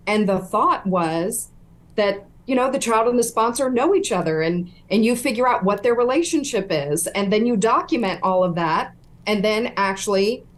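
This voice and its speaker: A middle-aged woman, a guest telling her account earnestly and emphatically over a video call from a room at home. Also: medium pitch. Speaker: whistleblower guest.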